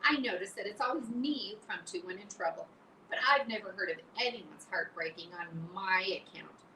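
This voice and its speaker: A woman's voice: mid-range voice, moderate pace, bright, forceful tone, natural voice. A woman voicing a lazy, self-pitying male rat who grumbles and mocks sarcastically, read as an audiobook. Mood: sarcastic. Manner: sarcastic, mocking, growling. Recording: roomy, distant mic, faint hiss.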